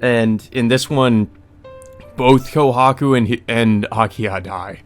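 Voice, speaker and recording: A man's voice: medium pitch, fairly even intonation, slow. A man reading a visual novel aloud as a let's player, with casual commentary. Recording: music under the voice.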